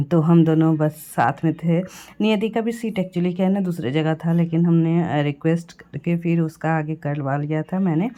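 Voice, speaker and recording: Low, husky-voiced young woman with a sore throat. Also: mellow. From Bihar. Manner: chatty, hesitant, with coughs and throat clearing. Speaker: vlogger. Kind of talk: voice-over narrating a travel vlog.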